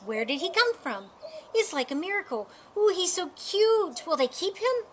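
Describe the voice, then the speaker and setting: A woman's voice: extremely high pitch, very animated, moderate pace, fairly bright tone, a little hiss or hum, pitched above the performer's own voice, heavily acted. A woman voicing astonished, curious onlookers in a children's storybook, exclaiming in turn. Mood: amazed. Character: astonished, chattering crowd of neighbors around a cat.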